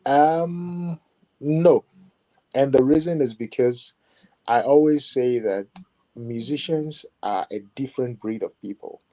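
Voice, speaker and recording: A man being interviewed as a musician, talking in a measured, reflective way with many "you know" asides. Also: medium pitch, mellow. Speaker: musician guest. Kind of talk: interview conversation.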